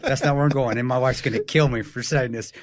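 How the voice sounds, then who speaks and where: A man's voice: medium pitch, fairly even intonation, quick pace, no room sound, studio quality. A man with a nasal voice chatting casually as a podcast host, rambling and poking fun at himself. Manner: rambling, self-deprecating, swears casually.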